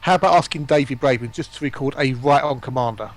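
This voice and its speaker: A man's voice: mid-range voice, fast. A man, a streamer guesting on a casual chat among gamers.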